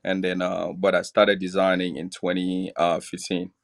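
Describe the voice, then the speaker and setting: A man in his forties from Cameroon with a Cameroonian accent, chatting as a podcast guest, relaxed and laughing easily between filler pauses. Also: deep voice, gravelly. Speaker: podcast guest, clothing brand founder. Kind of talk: casual interview chat.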